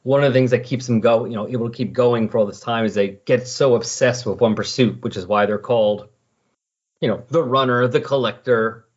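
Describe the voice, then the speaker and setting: Husky man rambling through explanations. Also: mid-range voice, brisk. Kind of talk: casual comic book discussion. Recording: dull lo-fi voice recording.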